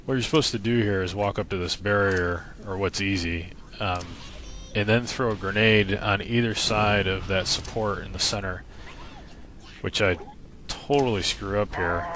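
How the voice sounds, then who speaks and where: A man's voice: on the low side, fairly bright tone. A man giving casual, laid-back instructional commentary as a game walkthrough narrator, explaining matter-of-factly. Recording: noisy recording with game sound behind. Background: video game sound.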